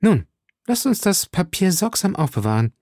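A man voicing a bold, impetuous young gentleman musketeer in an audiobook. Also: high-pitched, very animated, moderate pace, no room sound, clean studio sound, pitched above the performer's own voice. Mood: brisk. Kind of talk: audiobook character voice.

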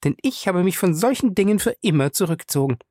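A man voicing a wary, sharp-tongued woman in an audiobook, curt, indignant and suspicious. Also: high-pitched, animated, quick pace, no echo, clean studio sound, voice pushed up. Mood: firm, suspicious. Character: wary, sharp-tongued woman guarding her good reputation. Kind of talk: audiobook character dialogue.

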